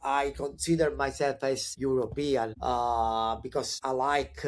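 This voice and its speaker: A man's voice: mid-range voice, takes it slowly. A man in his forties from Italy, a native Italian speaker with an Italian accent, telling his career story as a guest in a conversational interview, hesitant with many fillers and restarts. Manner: upbeat and animated when excited. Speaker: podcast guest.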